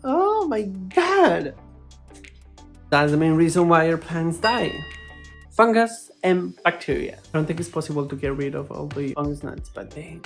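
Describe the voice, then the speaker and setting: A woman's voice: low-pitched, very animated, unhurried, vocal fry, faint music behind. A woman hosting a plant vlog, chatting casually to camera in a playfully dramatic, exclamatory way. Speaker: plant vlogger, host.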